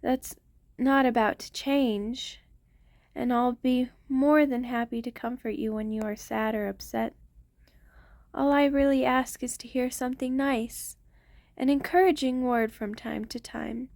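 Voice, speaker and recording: A woman playing an ancient, protective vampire queen in an intimate ASMR roleplay, speaking tenderly and softly to her lover in formal wording. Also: fairly high pitch, fairly even intonation, measured pace, no room sound, clean studio sound. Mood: reassuring, affectionate.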